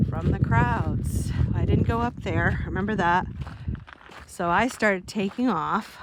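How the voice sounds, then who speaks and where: A woman's voice: mid-range voice, heavy background noise. A woman in her fifties, vlogging while walking outdoors and reminiscing in a rambling way, often correcting herself. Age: 50s. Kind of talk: casual walking vlog. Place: outdoors in the desert.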